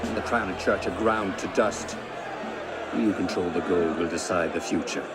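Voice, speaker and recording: An actor playing a cold, calculating middle-aged villain in a video game cutscene, speaking in a measured, grandiose way. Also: male voice, low-pitched, lightly acted. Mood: grandiose, menacing. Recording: loud music and crowd noise behind.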